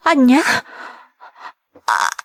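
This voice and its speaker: A woman playing a devoted, self-sacrificing young woman wounded in love, in a melodramatic audio drama. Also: drawn-out words. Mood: hurt, disbelieving. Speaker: drama actor.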